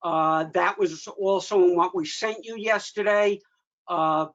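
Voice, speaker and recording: High-pitched man on a dull call. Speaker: meeting host. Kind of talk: informal meeting update. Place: online video meeting. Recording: dull lo-fi video call audio.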